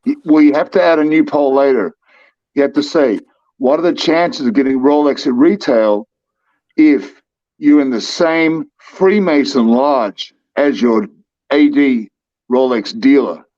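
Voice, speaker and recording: A man's voice: mid-range voice, measured pace, darkish tone. Teasing call-in man, muffled audio. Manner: teasing, insistent, keeps repeating the host's name. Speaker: call-in guest. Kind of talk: casual livestream chat.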